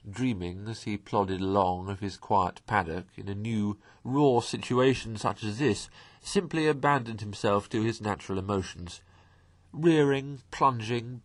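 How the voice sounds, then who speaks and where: A man reading a classic children's novel aloud in a measured, expressive way. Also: mid-range voice. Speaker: audiobook reader. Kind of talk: amateur storybook read-aloud.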